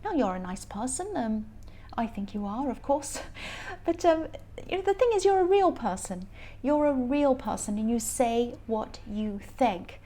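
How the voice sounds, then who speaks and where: A woman with a croaky voice giving a casual, rambling tarot reading, often laughing as she talks. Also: a little high, animated, a little slow, mellow. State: croaky voice from stress. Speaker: tarot reader.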